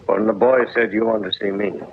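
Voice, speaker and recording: Actor as a low-voiced haughty crooked boss. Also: male voice, fairly even intonation, fast talker, close to an everyday voice. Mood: haughty, irritated. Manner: curt, guarded, condescending. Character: haughty, shady middle-aged town gambling boss. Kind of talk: 1950s radio western acting. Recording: muffled, noisy vintage radio recording.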